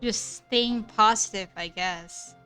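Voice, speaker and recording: A woman streamer reacts casually to a video game. She talks slowly, in short offhand remarks. Game music is clearly audible behind her. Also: mid-range voice, pushed and bright.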